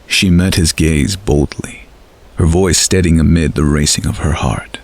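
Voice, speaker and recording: A man's voice: very deep voice, fairly even intonation, measured pace, breathy edge. A man narrating a scripted fantasy audio story.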